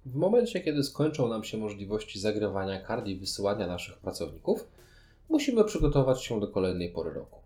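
Low-pitched man, explaining at length. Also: big pitch swings, a little fast, mellow. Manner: explanatory, wordy, long sentences. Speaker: podcast host. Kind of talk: conversational board game review.

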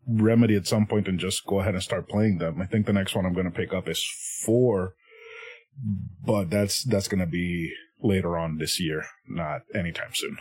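A man's voice: low-pitched, normal speed, slightly rough. Man, casual and laughing. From Puerto Rico. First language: Spanish. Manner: laughs often, swears freely, animated. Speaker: podcast guest. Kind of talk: casual chat.

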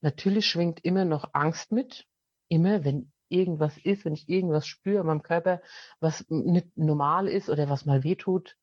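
A woman's voice: sits low, moderate pace, husky, no room sound, highs cut off. A woman speaking as an interview guest, telling her story in a plain-spoken, matter-of-fact way with frequent fillers. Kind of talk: casual conversational storytelling.

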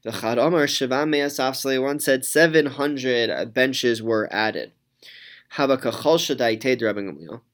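A man teaching a Talmud class, reading each Aramaic phrase and then translating it, with frequent pauses and ums. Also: mid-range voice, a bit bright.

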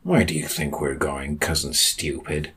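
A man voicing a calm, patient, long-suffering storybook sidekick with a dry, measured delivery. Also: very deep voice, slightly rough, voice pushed down, heavily acted. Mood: weary.

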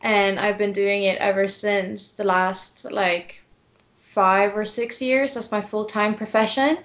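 A woman, a tattoo artist chatting as a guest in a relaxed interview. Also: medium pitch, slow pace. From Sweden. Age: 20s. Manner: relaxed, laughs often, many fillers. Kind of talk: casual interview.

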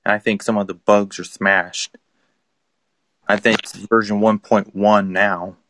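A man's voice: lowish voice, little pitch movement, measured pace, a bit bright, dry recording. A man in his twenties hosting a casual chat on a live audio app, explaining things in an easygoing, rambling way. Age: 20s. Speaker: host.

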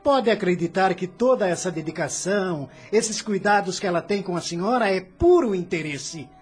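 An actor playing a domineering adult son in a radio drama, commanding then pleading. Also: male voice, high-pitched, expressive, moderate pace, husky, soft background music.

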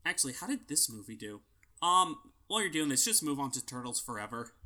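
A man's voice: mid-range voice, sing-song, sharp tone. A man with a nasal voice co-hosting a podcast, chatting casually and arguing his points. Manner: argumentative, quick to disagree. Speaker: podcast co-host. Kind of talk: casual chat.

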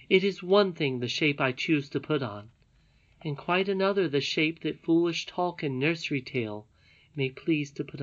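Mom reading a wise ageless lady, slow and patient, kinda muffled recording. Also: low-pitched, pitched above the performer's own voice. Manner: measured, patient. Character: ageless wise grandmother lady, calm and gently stern. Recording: dull lo-fi recording.

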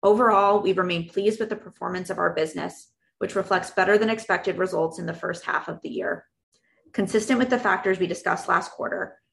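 A woman presenting company financial results as an executive, reading prepared remarks in a formal, measured way. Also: lowish voice, vocal fry, fairly bright tone. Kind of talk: scripted earnings call presentation.

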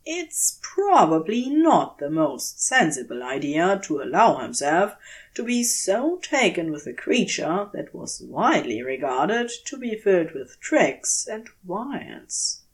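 A woman narrating scripted fiction in a measured, dramatic storytelling manner. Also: on the low side, sing-song. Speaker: audiobook narrator.

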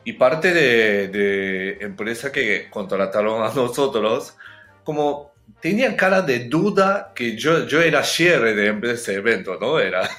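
A Japanese man speaking Spanish as a second language with a Japanese accent, talking slowly and haltingly, searching for words and laughing often as a guest in a casual interview. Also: medium pitch, expressive, a bit bright, reverberant, soft background music.

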